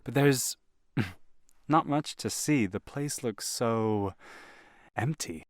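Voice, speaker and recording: A man's voice: mid-range voice, animated, drawn-out words, no echo, clean studio sound. A man playing a polite, doubtful house-hunting client in an audio drama, mild and hesitant, sometimes stammering.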